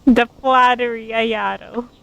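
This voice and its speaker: A woman's voice: on the high side, fairly even intonation, slow pace, vocal fry, a bit bright, highs cut off. A woman game streamer giving casual reaction commentary over gameplay.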